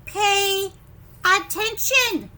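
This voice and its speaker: A woman voicing a stern, exasperated schoolteacher from a children's picture book, giving emphatic, drawn-out commands. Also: very high-pitched, bright, forceful tone, a little hiss or hum, pitched above the performer's own voice, exaggerated voice. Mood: firm, commanding. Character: stern, exasperated female schoolteacher scolding a small boy. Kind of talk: picture-book read-aloud for preschoolers.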